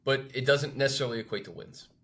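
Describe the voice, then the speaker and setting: A man co-hosting a sports talk show, thinking aloud in a measured, conversational way as he gives unscripted analysis. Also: mid-range voice, a bit bright.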